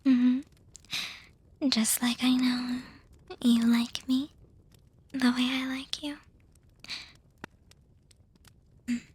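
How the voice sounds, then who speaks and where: A refined, doting young vampire girlfriend, acted by a woman for an ASMR roleplay. Her voice is high and extremely breathy, mostly whispered, with very little melodic movement. She lingers over words with hesitant pauses and soft hums. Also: flat delivery, full character voice. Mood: teasing, affectionate. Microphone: close microphone, dry.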